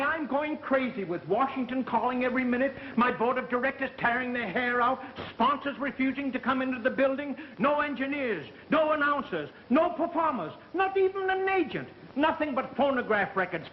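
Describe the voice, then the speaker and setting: A man's voice: very high voice, animated, normal speed, few pauses. A man acting a frantic, blustering radio station boss in an agitated, demanding 1930s melodrama style, on an old film soundtrack. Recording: muffled, hissy vintage 1930s film soundtrack.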